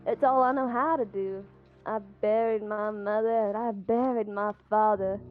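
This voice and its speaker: A woman's voice: medium pitch, steady tone, normal speed, natural voice. Woman playing a lonely gravedigger girl, thin phone-like sound. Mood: resigned, sinister. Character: lonely young female gravedigger, softly resigned, quietly deranged. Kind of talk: visual novel character voice acting. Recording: thin phone-like audio with music behind. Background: music.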